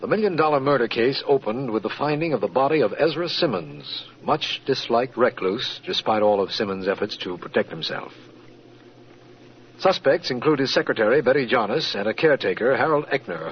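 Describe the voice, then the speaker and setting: A man's voice: medium pitch, expressive, moderate pace, lightly acted. An actor playing an earnest, formal male district attorney in 1940s radio drama style. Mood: formal, narrating. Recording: muffled, noisy old radio recording.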